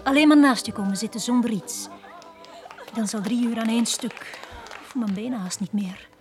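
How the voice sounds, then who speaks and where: An actress playing a tired, forward harbour bar hostess in a radio drama, chatty and coaxing. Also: female voice, fairly high pitch, normal speed, darkish tone, faint music behind, close to an everyday voice. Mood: coaxing, weary. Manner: chatty, coaxing, plain-spoken. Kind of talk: 1980 radio drama acting.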